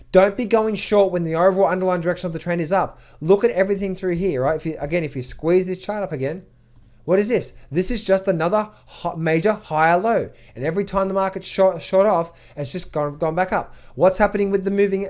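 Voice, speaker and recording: Emphatic trading coach, higher-pitched man. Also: a little mellow. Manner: emphatic, fires rhetorical questions and answers them; repeats words in quick bursts. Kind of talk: market update over charts.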